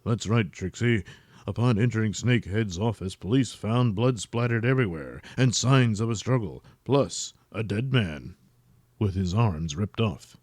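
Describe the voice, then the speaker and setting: A man voicing a stiff, solemn TV news anchor in a formal, deadpan manner for a comic audio drama. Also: on the low side, measured pace, dry recording, voice pushed down. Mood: grim, deadpan.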